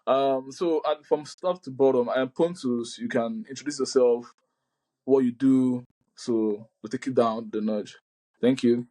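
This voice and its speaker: A man hosts a relaxed podcast conversation over a video call, his voice mellow and darkly toned. He talks loosely, with plenty of ums and restarts. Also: medium pitch, unhurried. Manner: loose and hesitant, many fillers and restarts. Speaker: podcast host.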